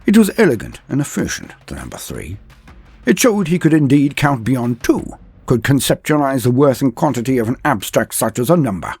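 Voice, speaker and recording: A man narrating a comedic fan-fiction tale in a mock-epic style, pausing theatrically before punchline words, with faint music behind. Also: mid-range voice, big pitch swings, darkish tone, slightly rough. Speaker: storyteller.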